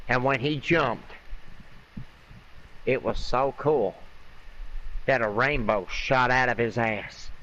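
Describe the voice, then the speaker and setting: A man telling an improvised comic bedtime story in a casual, halting way, often repeating and rephrasing himself. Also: medium pitch, fairly bright tone. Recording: dull lo-fi audio with faint noise.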